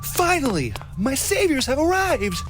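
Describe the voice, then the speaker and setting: Male actor as pompous scientist, callous, theatrical, highly varied pitch, radio drama, light music bed. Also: huge pitch swings, measured pace, heavily acted. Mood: triumphant. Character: haughty, callous, excitable male scientist, contemptuous of children.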